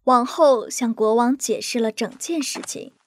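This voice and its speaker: A woman narrating bedtime fairy tales for young children in a scripted, expressive way. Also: on the high side, a little slow. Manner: lively, expressive. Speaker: storyteller.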